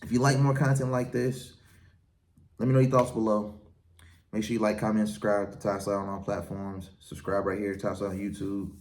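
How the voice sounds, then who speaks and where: A man's voice: on the low side, level tone, fairly quick, a little mellow, a little hiss or hum. A man who works as a wedding and event DJ, hosting his own show and giving casual, confident advice with a strong, projecting voice. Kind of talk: casual advice talk to camera.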